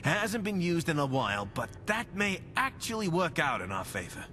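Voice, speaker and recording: Weary, brooding soldier played by a man, expressive. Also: a little high, normal speed, soft background music. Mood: determined, grim. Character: weary, guilt-ridden soldier companion, gruff but steady. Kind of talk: video game character dialogue.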